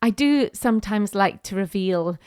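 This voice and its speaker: A woman's voice: mid-range voice, animated, moderate pace, a little mellow, smooth. A woman from Wales with a Welsh accent, chatting as a guest in an interview, laughing often and restarting her sentences. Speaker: guest, singer and actress. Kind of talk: casual conversational interview.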